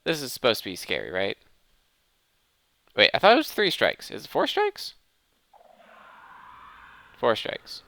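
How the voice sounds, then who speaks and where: Bright-voiced man doing lively game commentary. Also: medium pitch, a little slow, creaky, pauses often, no echo, clean studio sound. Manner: self-interrupting, thinking aloud. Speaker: gaming video host. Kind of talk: casual gameplay commentary.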